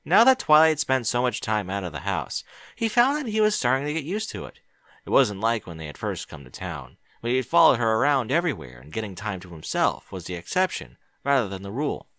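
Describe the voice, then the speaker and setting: A man narrating a story aloud in a bright, lively, expressive voice. The reading moves up and down with the plot. The audio is dry and close. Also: fairly low pitch, fairly quick, dry recording. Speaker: audiobook reader.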